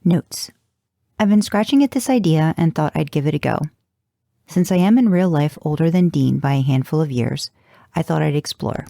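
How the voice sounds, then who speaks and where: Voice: medium pitch, normal speed, gravelly, warm tone, dry recording, studio quality. A narrator reading first-person fan fiction in an audiobook style, with a wry, intimate delivery. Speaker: fan fiction podcast reader.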